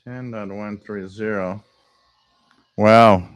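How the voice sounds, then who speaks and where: A man hosting a live radio stream, thinking aloud in casual commentary, repeating himself and breaking into excited bursts over strange signals. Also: on the low side, slow pace, dry recording.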